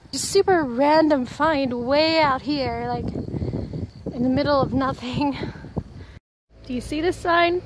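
A woman in her twenties chatting casually to camera in a rambling, informal way, recorded on a handheld phone outdoors. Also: sits high. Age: 20s. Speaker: vlogger. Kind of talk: casual vlog chat to camera. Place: outdoors on a rural roadside. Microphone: handheld phone at arm's length. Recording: noisy outdoor audio with ambience and traffic.

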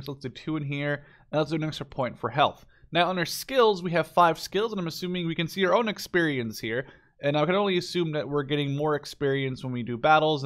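A man's voice: on the high side, fairly bright tone. A man giving casual, unscripted commentary on a game as he plays, informally thinking aloud. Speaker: gameplay video host.

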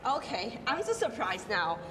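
A teenage girl with a Taiwanese accent playing a caring mother in a school stage play, with a deliberate delivery. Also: fairly high pitch, huge pitch swings, noisy background. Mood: gentle. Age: teens. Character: caring mother, gentle and proud. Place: large school auditorium stage. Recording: echoey hall with music behind.

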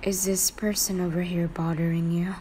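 A woman voicing a cool, protective teenage boy in a romantic roleplay, murmuring low and breathy with a curt manner. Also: flat delivery, normal speed, mellow, a little hiss or hum, natural voice. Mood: concerned. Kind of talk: romantic fan-fiction roleplay ASMR.

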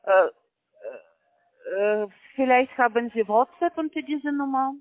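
A woman with a very high voice speaks as a call center agent, stumbling through broken grammar and filling every gap with hesitant sounds. The sound is a dry, tinny phone line. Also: measured pace, no echo. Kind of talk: phone sales pitch.

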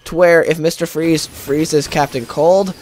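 A young man with a stuffed-up nose, chatting casually and rambling excitedly while he plays a game. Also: on the high side, a little slow, slight background noise. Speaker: gaming YouTuber. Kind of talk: casual gameplay commentary.

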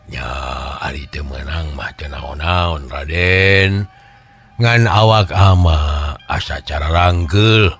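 A man's voice: extremely low pitch, slow, a bit bright, husky, soft background music, voice pushed down, full character voice. A man voicing an older village chief in a folk tale, deferential and a little hesitant.

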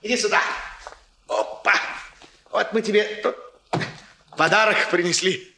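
A man's voice: sits high, a little slow, gravelly, pushed and bright, exaggerated voice. An actor playing a hearty, doting but evasive middle-aged family man with colloquial, hesitant speech in a 1960s radio play. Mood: hearty, bragging.

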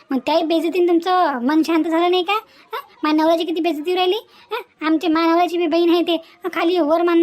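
A woman voicing a spiteful, indignant young daughter-in-law in a cartoon family quarrel, scolding and repeating herself with rhetorical questions. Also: very high-pitched. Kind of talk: Marathi family cartoon dialogue.

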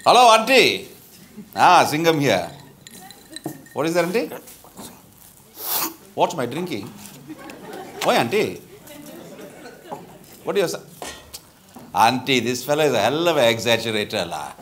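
An actor with a Malaysian accent playing a chatty, tactless middle-aged lawyer in a live stage comedy, blunt and colloquial. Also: male voice, medium pitch, measured pace, long pauses, a faint crowd, slight background noise. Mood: friendly, exaggerated concern. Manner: animated, blunt, colloquial with particles like lah. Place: theatre stage with bar set, live audience. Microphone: lapel mic.

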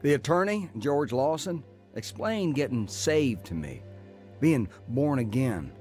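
An actor playing a tough career criminal with rough, slangy street talk, in a radio drama. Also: male voice, mid-range voice, sing-song, a little slow, darkish tone, soft background music. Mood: humbled, earnest. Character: tough, broke career criminal, jailed, turning repentant.

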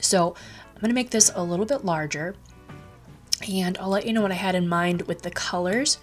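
A rambling, chatty woman walks through a craft project, her voice sitting a bit low and a little stuffy from a cold. She fills her talk with ums and restarts as she works. Faint music plays softly behind her. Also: normal speed. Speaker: craft tutorial host. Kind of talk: casual screen-recorded tutorial.

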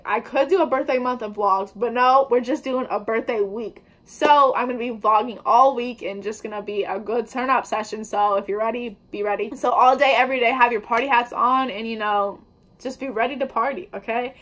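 Young female vlogger, higher pitch, casual slangy patter, recorded at home on the camera mic. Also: fairly bright tone. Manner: chatty, upbeat, slangy. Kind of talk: casual baking vlog. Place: indoors at home, kitchen table before a wallpapered wall.

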